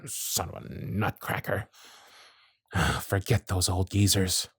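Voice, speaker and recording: A man's voice: sits low, takes it slowly, husky, dry recording, studio quality, full character voice. A man voicing a harried, grumpy male elf supervisor in an audio roleplay, sarcastic and scolding. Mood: glum. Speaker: workshop supervisor.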